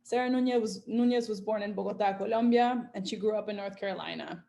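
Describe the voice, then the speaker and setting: A woman moderates an online panel, talking in a friendly and organized way as she introduces the next speaker. Her voice sits at an ordinary middle pitch. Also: fast talker, gravelly, mellow, slight background noise.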